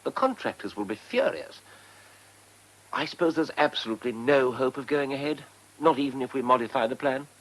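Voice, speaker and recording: A man acting a deferential English civil servant in a radio drama. He has a slightly rough, husky voice with lively pitch, speaking formally and haltingly as if always excusing himself. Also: natural voice, rough recording. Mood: apologetic. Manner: apologetic, hesitant, formal. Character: deferential, hesitant male civil servant aide to a minister.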